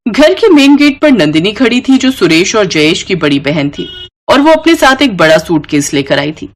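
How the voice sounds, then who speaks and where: A woman's voice: medium pitch, big pitch swings, moderate pace. A woman narrator reading a scripted moral tale as a storyteller.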